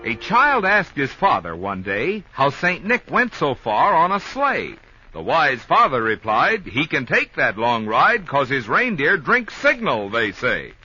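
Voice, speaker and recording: An American man reading a playful sponsor pitch as a 1950s radio announcer. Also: wildly swooping pitch, measured pace, sharp tone, slightly rough. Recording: muffled, faintly noisy 1950s radio recording.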